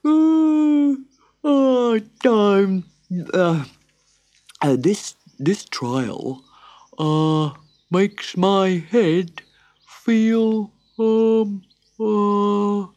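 A woman voicing a dim, sleepy koala in a children's story, haltingly groping for words with lots of ums. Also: medium pitch, dark tone, no echo, voice pushed up. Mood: befuddled, sleepy. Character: dim, drowsy, hesitant koala.

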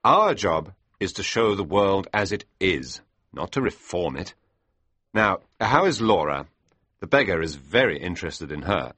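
A man's voice: low voice, a little slow, no echo, heavily acted. A man doing a wry, teasing young painter. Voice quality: wry, relaxed. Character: amused young male painter, teasing and easygoing.

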